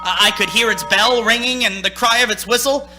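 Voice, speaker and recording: A man's voice: sits high, a little fast, close to an everyday voice. An actor playing a haunted, anxious young male driver in a radio play, speaking in a stammering, urgent, theatrical way. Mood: haunted, brooding. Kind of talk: theatrical radio play acting with first-person narration. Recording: stage radio play with music.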